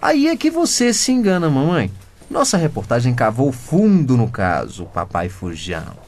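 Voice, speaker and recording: A man's voice: mid-range voice, wildly swooping pitch, normal speed, a little mellow. A man playing a cheeky, rebellious 15-year-old son in a comedic radio drama, talking back in mock-pompous teenage slang. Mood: cocky.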